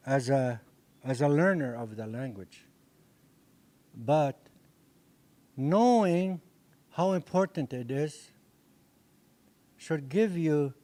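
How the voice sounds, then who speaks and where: An older man whose first language is Dakota speaks to a class through a handheld microphone. He talks earnestly, often restarting and repeating phrases as he works through a thought. His pitch sits in an ordinary middle range. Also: warm tone. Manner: earnest, repetitive, with frequent restarts. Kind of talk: classroom lecture. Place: indoor meeting room. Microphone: handheld mic.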